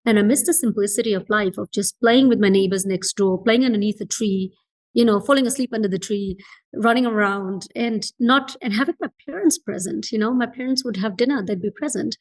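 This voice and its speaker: Woman from fiji being interviewed, medium pitch, earnest and reflective, repeats stuff for emphasis. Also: a little fast, low-quality recording. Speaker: entrepreneur being interviewed as a guest.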